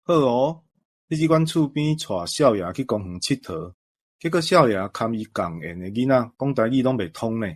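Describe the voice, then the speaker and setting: A man's voice: medium pitch, expressive, normal speed, slightly rough. A man with a Taiwanese accent talking conversationally as a co-host. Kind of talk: conversational discussion.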